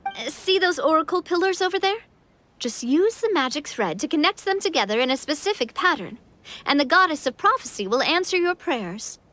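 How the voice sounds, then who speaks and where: A woman's voice: high voice, lively intonation, brisk, fairly bright tone. A woman playing a cheerful, confident young adventurer guide in a video game, upbeat, playful and reassuring. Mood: instructive. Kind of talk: video game character acting.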